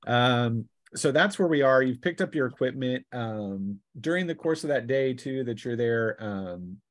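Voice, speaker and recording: A man working as game master, narrating a tabletop fantasy session in a halting way, thinking aloud as he goes. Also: mid-range voice, expressive, no room sound, studio quality.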